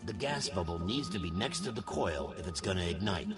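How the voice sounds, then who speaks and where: Gruff cynical fire investigator in a game, really deep voice, swings up and down, loud music. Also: male voice, expressive, brisk. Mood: instructive. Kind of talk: video game voice acting.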